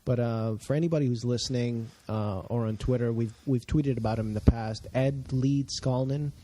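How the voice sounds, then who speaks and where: A man's voice: medium pitch, fairly even intonation, normal speed, noisy background. A man hosting a podcast, asking questions in an easygoing, chatty way. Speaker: podcast host. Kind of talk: casual interview talk.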